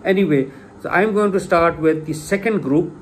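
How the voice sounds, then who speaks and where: A man's voice: sits high, moderate pace, a little hiss or hum. Hesitant Urdu-speaking man lecturing. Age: middle-aged. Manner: hesitant, many fillers and restarts; emphatic on key points. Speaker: lay religious lecturer. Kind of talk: informal spoken lecture.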